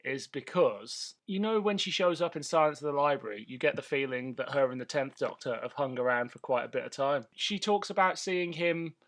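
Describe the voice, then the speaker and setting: A man's voice: mid-range voice, a little fast, few pauses, fairly bright tone. A man co-hosting a podcast in casual, chatty banter, with a fondness for detail. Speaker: podcast host. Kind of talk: casual banter between co-hosts.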